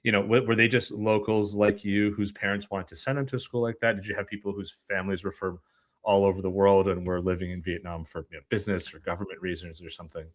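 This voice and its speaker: A man hosting an interview show, his voice sitting on the low side. He asks long, winding questions, restarting and circling back out of curiosity. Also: little pitch movement, fast talker. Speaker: podcast host.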